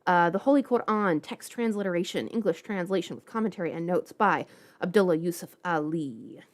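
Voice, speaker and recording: Female streamer, slightly low voice, informal and playful, talking off the cuff to viewers. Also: a little fast. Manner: playful, informal, chatty asides. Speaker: livestream host. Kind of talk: casual livestream chat.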